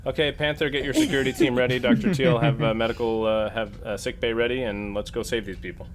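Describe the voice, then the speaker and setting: A man's voice: mid-range voice, fast talker, over a noisy crowd. A man playing in a tabletop roleplaying game, talking casually and bantering with the group. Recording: faint background noise.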